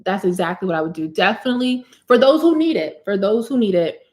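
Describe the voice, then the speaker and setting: A woman's voice: medium pitch, quick pace. Chatty woman in her thirties. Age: 30s. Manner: chatty and animated, calls viewers honey and sis. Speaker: hair care educator hosting a live Q&A. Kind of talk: live stream Q&A, casual chat.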